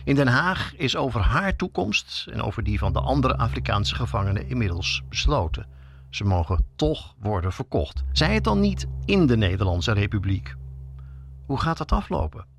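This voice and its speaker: A man's voice: medium pitch, sing-song, moderate pace, creaky, a little hiss or hum. A man hosting as a reporter, reading scripted narration in a storytelling way that addresses the listener directly. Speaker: podcast reporter and host.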